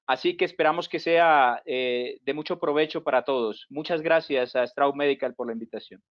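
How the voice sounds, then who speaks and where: A man's voice: mid-range voice, moderate pace. A man from Colombia, a physician panelist, gives a courteous, formal greeting on a video call. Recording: dull lo-fi video call audio.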